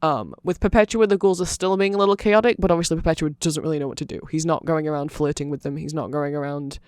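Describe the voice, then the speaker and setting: A man's voice: fairly high pitch, fairly even intonation, fast, clear, resonant tone, dry recording, studio quality, natural voice. A man voicing a nerdy vampire who gives a rambling, earnest and fannish ASMR explanation full of fillers. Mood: eager, chatty.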